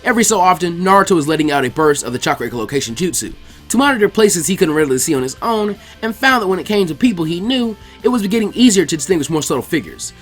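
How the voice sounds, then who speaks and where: Expressive male storybook narrator. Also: sits high. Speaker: narrator, fan fiction reader. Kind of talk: expressive audiobook-style scripted narration.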